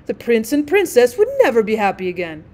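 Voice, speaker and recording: A woman's voice: a little high, very animated, a little fast, a little mellow. A woman voicing a vain, self-obsessed male firework rocket in a storybook reading, with haughty, indignant, self-important delivery. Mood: self-righteous.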